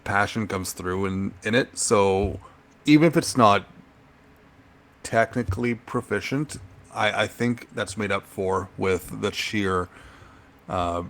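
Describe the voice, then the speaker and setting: A middle-aged man with a very low, deep voice, chatting on a music podcast. He weighs his words carefully and fills his pauses with ums. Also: a bit bright. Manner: thoughtful, measured, hesitant with frequent ums. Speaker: podcast co-host. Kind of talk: casual music podcast chat.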